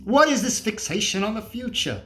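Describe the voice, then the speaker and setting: A man's voice: sits high, very animated, moderate pace, sharp tone, heavily acted. A man performing a dramatic monologue as a cynical, feverish, scornful apocalyptic drifter, ranting emphatically and preachily with swearing and rhetorical questions. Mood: fervent.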